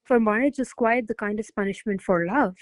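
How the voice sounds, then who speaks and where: A woman playing a haughty, jealous noble mistress in a classical radio play, speaking in a formal, imperious, measured manner. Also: medium pitch, no echo, close to an everyday voice. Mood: coolly gracious. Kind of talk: stage-style radio play acting of classical verse.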